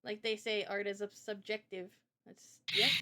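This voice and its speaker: A woman's voice: medium pitch, little pitch movement, moderate pace, crowd noise. A woman hosting a live stream in casual, unscripted conversation, speaking earnestly and passionately about art as an illustrator. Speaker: live stream host interviewing a guest.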